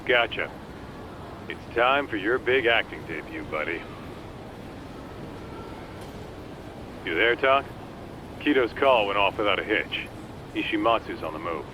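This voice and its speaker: A man's voice: fairly low pitch, animated, moderate pace, background noise, natural voice. A man playing a cocky, loyal detective's sidekick in a video game cutscene, with a casual, blunt, streetwise way of talking. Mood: teasing, blunt. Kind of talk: English video game cutscene dub acting. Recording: music playing behind the voice.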